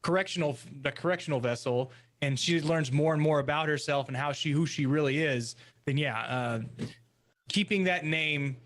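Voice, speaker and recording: Halting, slightly high-voiced male panelist. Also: a bit bright. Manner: halting, thoughtful. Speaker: podcast panelist. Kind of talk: casual chat.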